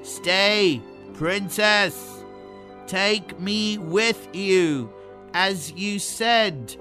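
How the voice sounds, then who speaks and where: Small talking frog, acted by a storyteller, entreating and deliberate, swinging intonation, for a fairy tale. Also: mid-range voice, very animated, music in the background. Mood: pleading. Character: small talking frog, earnest, bargaining suitor.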